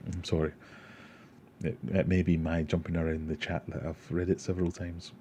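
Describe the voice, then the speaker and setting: A man's voice: sits low, level tone, normal speed, warm tone, a little hiss or hum. A Scottish man from Glasgow hosting an aquarium livestream, casually answering chat questions and rambling with self-deprecating asides. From Glasgow, Scotland.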